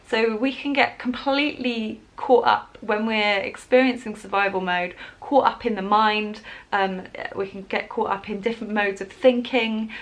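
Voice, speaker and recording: Woman vlogger, rambling and chatty, frequent laughs, slightly high voice, camera mic. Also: a bit bright. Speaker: wellbeing vlogger. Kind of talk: casual talk to camera. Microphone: camera in front, leaning closer at times.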